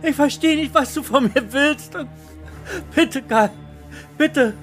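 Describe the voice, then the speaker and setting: A man's voice: very high voice, takes it slowly, a bit bright, faint music behind, voice pushed up, full character voice. A man playing a timid, boring accountant in an audio drama, stammering, pleading and crying out in helpless panic. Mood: desperate, crying.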